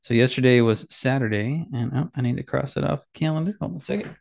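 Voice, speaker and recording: Dad podcaster, gravelly mid-pitched voice with a nasal edge, casual and self-deprecating, solo from his home office. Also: fast talker, darkish tone. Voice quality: slightly nasal. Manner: rambling, self-deprecating, many fillers and restarts. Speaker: podcast host. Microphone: boom mic with headphones.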